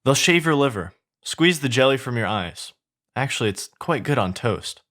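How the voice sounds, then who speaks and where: A man's voice: mid-range voice, animated, moderate pace, a bit bright, close to an everyday voice. A menacing ogre read by a man from a storybook. He speaks emphatically and mockingly, relishing each threat. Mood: relishing menace. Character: menacing, mocking male ogre taunting villagers.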